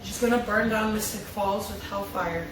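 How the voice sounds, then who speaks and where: A woman's voice: medium pitch, moderate pace, noisy background. A woman reading character lines from a drama script in an amateur table read. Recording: echoey room, distant mic, music behind.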